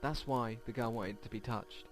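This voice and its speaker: A man's voice: medium pitch, fairly even intonation, normal speed. A man hosting a let's play, reading visual novel text aloud and stumbling over words now and then. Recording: game music behind the voice. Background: game music.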